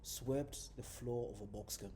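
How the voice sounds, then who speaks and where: A man's voice: mid-range voice, unhurried, dark tone, lightly acted. A man voicing a poor, desperate migrant farm worker in a storybook reading, folksy and urgent. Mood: bitter, desperate. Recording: faint background noise.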